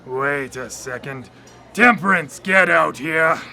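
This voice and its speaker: An actor in a radio drama playing a smug, taunting tempter spirit who schemes to corrupt souls. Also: male voice, a little high, takes it slowly, vocal fry, bright, forceful tone, faint music behind, full character voice. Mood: exasperated. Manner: taunting, theatrical. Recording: live radio drama broadcast.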